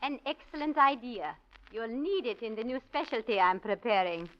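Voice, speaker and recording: A woman's voice: a little high, expressive, moderate pace, natural voice. An actress playing a cutting, domineering female choreographer in an old radio drama. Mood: icy. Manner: crisp, cutting, commanding. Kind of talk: 1940s radio acting. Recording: muffled old radio recording, faint hiss.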